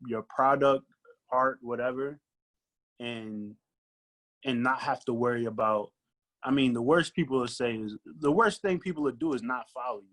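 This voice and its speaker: A man's voice: mid-range voice, normal speed, a bit bright. Man chatting casually on a podcast. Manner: colloquial, thinks aloud with repeated "like" and "you know". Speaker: guest recording artist. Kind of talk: casual chat, podcast discussion.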